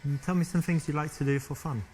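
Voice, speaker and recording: A man's voice: on the high side, steady tone, fast talker, mellow, lightly acted, low-quality recording. An actor with a southern English accent playing a chatty, easygoing man flirting on a date in a dating game. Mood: friendly, curious. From London, England. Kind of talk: acted dating-game dialogue.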